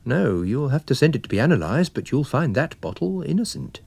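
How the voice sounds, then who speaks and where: A man acts out a courteous travelling wine salesman with a sleuth's curiosity. His chatty, probing questions rise and dip in a very lively way, in the style of a radio mystery. The sound is close and dry. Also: medium pitch, fairly quick, creaky, dry recording, voice pushed up. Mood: assured, polite.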